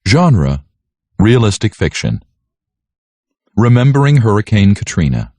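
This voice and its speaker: A man's voice: on the low side, big pitch swings, unhurried, darkish tone, dry recording. A man reading a children's story aloud as a scripted narration. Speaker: audiobook narrator.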